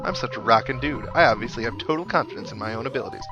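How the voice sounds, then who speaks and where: A man's voice: medium pitch, very fast, lo-fi sound, lightly acted. Man reading out cocky, taunting street punk over game music. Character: cocky young male street gang punks, taunting. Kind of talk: reading video game dialogue aloud. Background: video game music.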